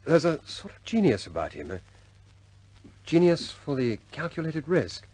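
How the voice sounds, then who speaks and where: Loyal RAF-style officer, husky, lively intonation, understated and deferential, for a vintage radio war drama. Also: male voice, a little high, moderate pace, low-quality recording. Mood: earnest. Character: loyal, respectful male air force officer, frankly understated. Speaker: squadron pilot reporting to his superior.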